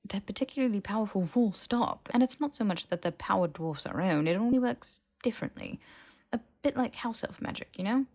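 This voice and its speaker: A woman's voice: mid-range voice, expressive, fairly quick, a little mellow, pitched above the performer's own voice. A woman voicing a brainy, keen young witch in audiobook dialogue, articulate and knowing, as if explaining. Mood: eager, lecturing.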